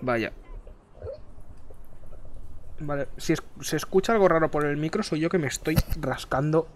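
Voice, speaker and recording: A man from Spain chatting while he plays, rambling and crude, keeps clearing his throat, speaking into a headset mic. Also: fairly high pitch, moderate pace, a little hiss or hum. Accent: Spain Spanish. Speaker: gaming streamer. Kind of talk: casual gameplay chat. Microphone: headset mic close to the face.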